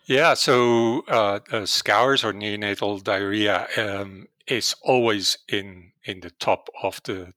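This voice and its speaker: A middle-aged man with a Dutch accent explains calf disease as a podcast guest, hesitating often with fillers. His voice is bright and a little low, and it sits dry and close to the mic with no room sound. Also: slow, hardly any pauses, no echo. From Netherlands. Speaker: veterinary virology professor, guest. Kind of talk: podcast interview.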